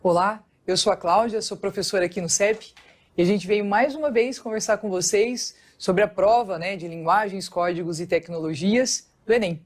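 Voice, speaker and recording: A woman's voice: mid-range voice, moderate pace, faint music behind. A woman teacher with a São Paulo state accent and a strong rolled R, explaining a lesson in a recorded class and often checking in with the students.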